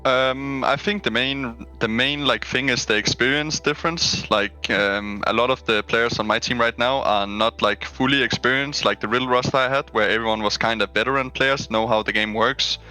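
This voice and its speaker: Young man with a bright voice on a headset. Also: mid-range voice, normal speed, few pauses, rough recording. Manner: relaxed, filler-heavy, frank. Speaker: esports player. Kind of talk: post-match interview. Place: small room at home. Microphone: headset on a webcam call.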